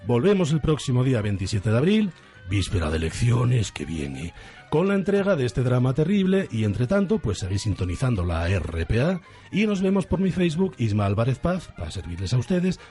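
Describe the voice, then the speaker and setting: A man's voice: medium pitch, wildly swooping pitch, fairly quick, warm tone, soft background music. A man with an accent from Spain, hosting and narrating theatrically on the radio. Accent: Spanish, from Spain.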